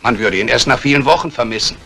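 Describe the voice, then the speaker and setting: An actor playing a scheming embezzler in a 1950s radio crime drama, calculating and menacingly polite. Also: male voice, mid-range voice, quick pace, vocal fry, bright, forceful tone, slightly rough, exaggerated voice. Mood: coolly scheming. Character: cold, scheming embezzling banker plotting murder.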